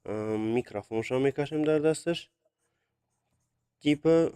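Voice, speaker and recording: A man's voice: medium pitch, takes it slowly, warm tone, clear, resonant tone. A young man chatting casually on a live stream about drawing viewers' requests, speaking hesitantly with many fillers and Russian words mixed in, recorded on a phone.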